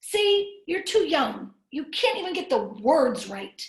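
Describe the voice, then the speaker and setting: A woman voicing an excited, teasing big brother in a children's storybook. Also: high voice, slow, echoey room, pitched above the performer's own voice, full character voice.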